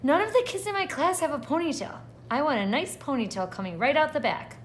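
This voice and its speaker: A woman's voice: sits high, expressive, brisk, a little hiss or hum, pitched above the performer's own voice. A woman voicing a stubborn, defiant little schoolgirl from a storybook, declaring things firmly and emphatically. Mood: insistent.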